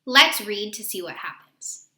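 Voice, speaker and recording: Woman, bright and a little high, expressive storybook reader who quizzes kids; roomy echo. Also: unhurried. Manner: expressive, asks listeners questions. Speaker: read-aloud host for children. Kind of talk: children's storybook read-aloud.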